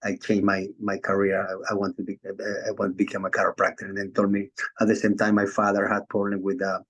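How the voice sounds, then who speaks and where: Chiropractor from Venezuela, male; low even voice, hesitant with lots of fillers. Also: fast talker, slightly rough. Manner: hesitant, many fillers and restarts. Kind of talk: casual video call chat. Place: Florida.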